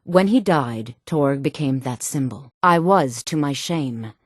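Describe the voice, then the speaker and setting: An actress playing a sultry, self-assured woman in a fantasy video game, speaking in a poised, measured and haughty manner. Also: extremely low pitch, animated, no room sound, clean studio sound, heavily acted. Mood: grave, regretful. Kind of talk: video game character dialogue.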